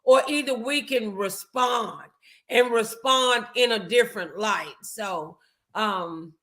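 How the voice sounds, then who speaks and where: Slow, bright-voiced American woman hosting. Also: fairly high pitch. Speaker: talk show host. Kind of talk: motivational talk show conversation.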